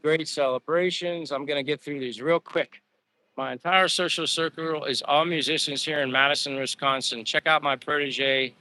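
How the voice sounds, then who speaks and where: Bright, chatty middle-aged man, going hoarse. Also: on the high side, rough recording. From Pennsylvania, USA. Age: 50s. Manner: rambling, chatty, answers viewers by name. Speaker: livestream vlogger. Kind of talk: casual live chat reading comments while walking. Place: outdoors on a walk.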